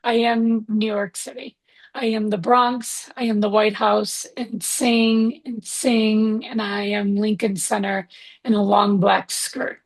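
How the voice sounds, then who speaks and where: A woman's voice: medium pitch, little pitch movement, takes it slowly. A woman hosting an interview, affirming and encouraging her guest as she leads the conversation. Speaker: interview host and author.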